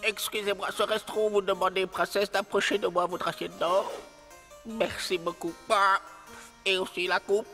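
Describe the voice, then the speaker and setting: A man's voice: high voice, flat delivery, normal speed, bright, forceful tone. A man voicing an enchanted frog prince in a children's cartoon, speaking with courteous, formal insistence. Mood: polite, pleased. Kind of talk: children's fairy-tale cartoon acting. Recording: long reverb, music behind.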